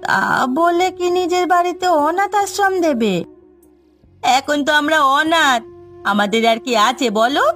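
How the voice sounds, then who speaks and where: Middle aged village wife from a cartoon, high voice, naggy and plaintive, music behind. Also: female voice, lively intonation, a little slow, fairly bright tone. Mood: reproachful. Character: lamenting, sharp-tongued middle-aged Bengali village wife and mother. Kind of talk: cartoon drama dialogue.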